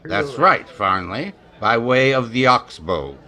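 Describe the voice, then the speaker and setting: A man's voice: medium pitch, big pitch swings, slow pace, crowd noise. A man acting a cold, hard major in a western radio drama, clipped and authoritative. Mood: cold, commanding. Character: cold, hard, commanding major leading a posse.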